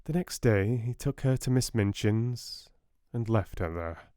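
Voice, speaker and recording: A British man narrates a storybook in a soft voice. His pitch is on the low side, and the recording is dry, as suits an audiobook. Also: measured pace, dry recording.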